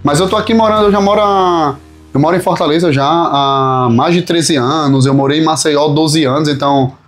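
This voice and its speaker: A man's voice: on the high side, fairly quick. Pernambuco man chatting over music. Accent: Pernambuco, Northeastern Brazilian. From Caruaru, Pernambuco, Brazil. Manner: informal, slangy, joking. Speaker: podcast guest, business partner in a management firm. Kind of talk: casual podcast chat. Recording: music playing behind the voice.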